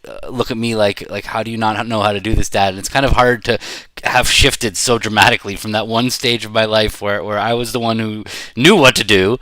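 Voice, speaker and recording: A middle-aged man giving casual live commentary as he plays a card game, rambling and halting as he thinks aloud. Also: fairly low pitch, bright, forceful tone, smooth, no echo. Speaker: bridge player commentating while playing.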